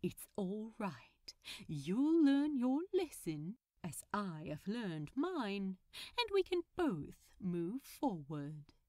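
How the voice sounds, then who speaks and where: A woman's voice: mid-range voice, sing-song, slow pace, a little mellow, dry recording, studio quality. A woman voicing a theatrical male supervillain in an audiobook story, smug yet tender, with a coaxing, dramatic manner.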